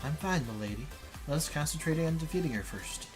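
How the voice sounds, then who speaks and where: A man's voice: a little high, lively intonation, fairly quick, close to an everyday voice. A man voicing a dutiful female warrior retainer from a visual novel in a formal, urgent manner. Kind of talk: dramatic visual novel read-aloud. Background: game music.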